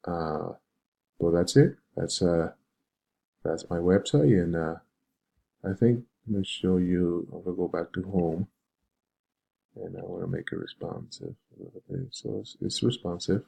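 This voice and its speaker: Deep-voiced, hesitant man from Venezuela. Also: gravelly, warm tone, slightly rough. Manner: hesitant, halting, unscripted. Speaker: web design student presenting a project. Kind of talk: informal screen-share presentation.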